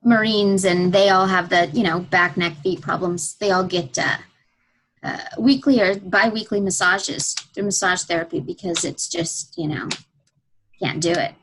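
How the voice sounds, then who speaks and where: A woman's voice: fairly low pitch, normal speed, a bit bright, poor audio. A woman chatting casually with teammates over a video call. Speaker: student team member.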